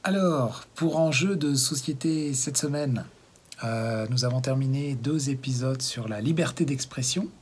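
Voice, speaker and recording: A man's voice: mid-range voice, measured pace, darkish tone, slight background noise. A man from Haute-Savoie in France hosting a community TV show, introducing a segment with many fillers and restarts. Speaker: host.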